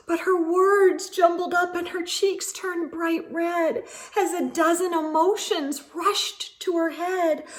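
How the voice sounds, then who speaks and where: A woman reading a rhyming picture book aloud for children in an animated, measured storytelling manner. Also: extremely high pitch. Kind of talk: expressive picture-book read-aloud in rhyme.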